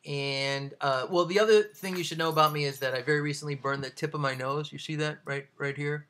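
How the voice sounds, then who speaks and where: A man with a fairly high, forceful, bright voice talks casually as an author. His humour is dry and self-deprecating, kept deadpan. He moves along haltingly and tends to digress. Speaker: author reading a bad review of their own book. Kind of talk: casual video monologue with read-out excerpts.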